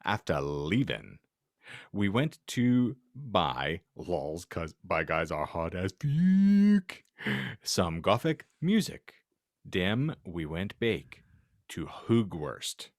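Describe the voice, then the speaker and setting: Low male voice, exasperated podcast host, deadpan reader, very varied intonation, dry close sound. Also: extremely slow, dry recording. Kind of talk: reading bad fan fiction aloud with banter.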